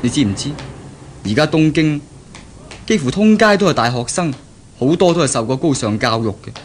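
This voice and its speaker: An actor playing a pragmatic young graduate and fiancé in a 1970s Cantonese radio drama, explaining things earnestly and persuasively. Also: male voice, on the high side, animated, normal speed, slight background noise, lightly acted. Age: young adult.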